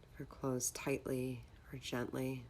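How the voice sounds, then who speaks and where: A woman leading a guided meditation in a calm, measured, instructional manner. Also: rumbling low, a little hiss or hum.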